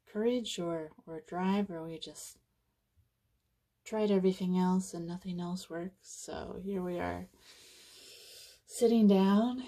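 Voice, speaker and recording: Slow, mellow woman guiding a meditation. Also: lowish voice, creaky. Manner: unhurried, soothing, casual phrasing with pauses. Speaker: meditation guide. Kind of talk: guided meditation, informal and conversational.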